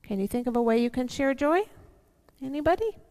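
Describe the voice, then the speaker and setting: A middle-aged woman reading a picture book aloud to children, expressive and lingering on words, with conversational asides. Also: high voice, brisk. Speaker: church storyteller for children. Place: large church sanctuary, seated indoors. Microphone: headset microphone. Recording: church hall reverb.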